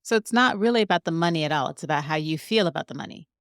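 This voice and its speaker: Playful woman co-host, animated. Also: sits low, dry recording, clean studio sound. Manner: playful, quick interjections. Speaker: podcast co-host. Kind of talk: podcast interview.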